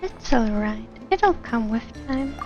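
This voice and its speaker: A woman game streamer chats casually in a high, giggly voice at a slow pace. Her reactions are sympathetic, and the sound is slightly dull and lo-fi with game music behind. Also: expressive, a little mellow. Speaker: streamer playing a story game. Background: video game music.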